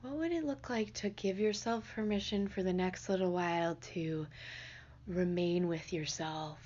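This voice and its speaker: A woman leading a guided meditation in a gentle, unhurried, soothing manner. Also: lowish voice, lo-fi sound. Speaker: meditation teacher.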